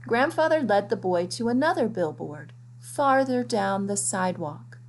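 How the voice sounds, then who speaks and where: Slow, expressive woman reading a children's story. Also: mid-range voice, a little hiss or hum. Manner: expressive, deliberate storytelling delivery. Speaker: storybook reader. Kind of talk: scripted read-aloud narration of a children's picture book.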